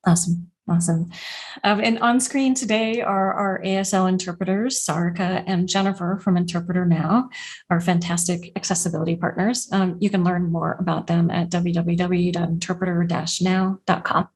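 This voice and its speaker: Breathy upbeat woman hosting a podcast. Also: lowish voice, steady tone, moderate pace. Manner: upbeat, with frequent fillers. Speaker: podcast host. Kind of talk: casual interview hosting.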